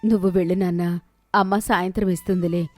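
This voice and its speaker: A woman voicing a gentle, sensible and patient village housewife who speaks politely in an animated moral story. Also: on the low side, quick pace, no room sound, pitched below the performer's own voice, lightly acted. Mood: soothing.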